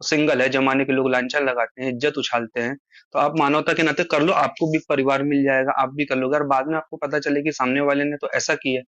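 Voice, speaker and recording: A man's voice: mid-range voice, little pitch movement, brisk, a bit bright, no room sound. A man in a casual phone conversation, talking at length as he explains himself and appeals to the listener. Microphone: phone call. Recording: muffled lo-fi recorded phone call.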